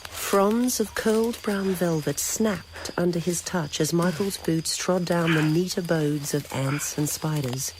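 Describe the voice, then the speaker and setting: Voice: mid-range voice, unhurried, hardly any pauses. A narrator reading literary, scripted prose for a radio drama. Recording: noisy old radio recording.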